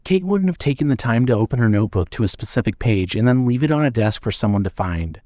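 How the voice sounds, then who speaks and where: A man reading scripted narration for an audio drama in a measured way. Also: medium pitch, lively intonation, dark tone, dry recording, studio quality.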